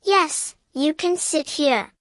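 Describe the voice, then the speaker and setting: A woman narrating a scripted beginner language drill in careful, measured, simple phrases, repeating each sentence. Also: high voice, fairly bright tone, dry recording, clean studio sound. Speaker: English teacher narrator.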